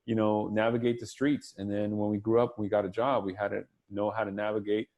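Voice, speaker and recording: A man speaking as a panelist on a video call, relaxed and conversational, leaning on "you know" a lot. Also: lowish voice, a little fast, dull audio. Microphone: video call mic.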